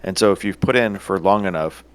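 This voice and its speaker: A man's voice: sits low, brisk. A man co-hosting an IT podcast, talking casually and leaning on filler words. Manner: chatty, uses filler words and "right?". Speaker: podcast co-host, IT business owner.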